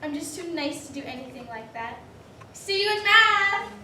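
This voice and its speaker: A woman's voice: extremely high pitch, lively intonation, normal speed, bright, forceful tone, exaggerated voice. A woman playing a smug, sarcastic teenage school bully with a taunting, mock-sweet delivery in a reader's theater performance. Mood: smug, menacing. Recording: distant mic in echoey room, faint noise.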